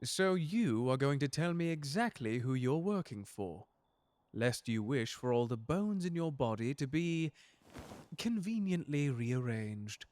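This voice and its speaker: A man acts out a gentleman butler for an audio drama. He is formal and precise in his wording, unflappable and courteous, with menace sitting quietly underneath. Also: mid-range voice, very animated, measured pace. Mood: smooth menace. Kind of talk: character audio roleplay drama.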